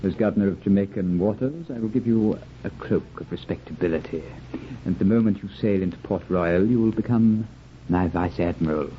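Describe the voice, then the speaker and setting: An actor playing a pompous, scheming colonial governor in an old radio drama, formal and authoritative. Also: male voice, sits low, fairly quick, natural voice. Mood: sly, conspiratorial. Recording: muffled phone-like old radio recording, noisy.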